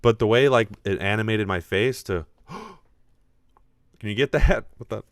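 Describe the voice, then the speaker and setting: A man hosting a video podcast, talking casually and excitedly with frequent restarts in a deep voice. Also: a little slow, dry recording, clean studio sound. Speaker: podcast host.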